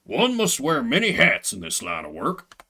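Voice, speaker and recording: A man acts out a grave, self-important video game janitor. The voice is bright and forceful and moves widely in pitch. He speaks formally, treating humble maintenance work as a solemn military commission. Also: huge pitch swings, normal speed, breathy edge, exaggerated voice. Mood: grave, dutiful. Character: solemn, grandiose adult male janitor devoted to maintenance.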